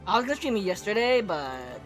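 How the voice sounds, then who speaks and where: A game livestreamer with a hoarse, partly lost voice, chatting casually and excitably with viewers. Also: medium pitch, brisk, soft background music. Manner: excitable, exclaiming greetings to chat. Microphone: close microphone.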